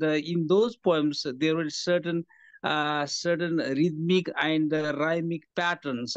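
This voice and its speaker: A man's voice: on the high side, no room sound. A man in his fifties with a Nepali accent, answering interview questions over a phone video call, often hesitating with fillers. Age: 50s. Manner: hesitant, many "uh, let's say" fillers. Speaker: guest, poet and professor. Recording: dull lo-fi phone call audio.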